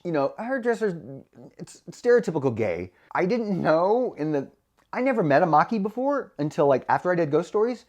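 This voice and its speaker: A man talks straight to camera in a candid, casual vlog. His pitch swings widely as he tells stories, and he speaks frankly and laughs often. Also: darkish tone. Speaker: voice actor and vlogger.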